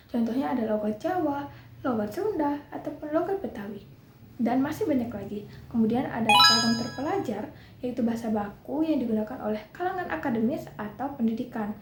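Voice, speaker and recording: A young woman presenting a class project, reading through lists of points with small slips and restarts. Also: a little high. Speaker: student presenter. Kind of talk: class presentation explaining a topic. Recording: echoey room with clear background noise.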